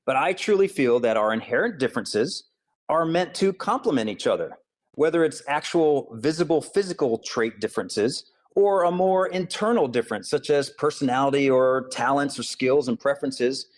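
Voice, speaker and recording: A man from Hawaii speaks as an author and school counselor being interviewed. He explains his ideas earnestly and steadily, at a middle pitch, and keeps his delivery even. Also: moderate pace. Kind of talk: interview answers.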